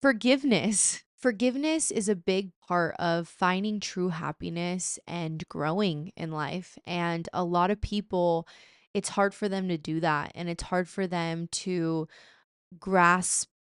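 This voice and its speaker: A woman's voice: lowish voice, a little slow, clear, resonant tone, no echo, clean studio sound. A woman in her twenties hosting her podcast, chatting casually and playfully with slang asides. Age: 20s. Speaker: podcast host. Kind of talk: casual chat.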